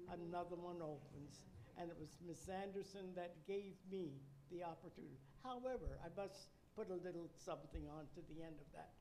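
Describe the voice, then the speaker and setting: Slow, measured older woman. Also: fairly low pitch, dark tone, a little hiss or hum, rough recording. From Nova Scotia, Canada. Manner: measured, reflective, with wry asides. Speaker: interviewee, retired nurse educator. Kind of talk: reflective personal storytelling.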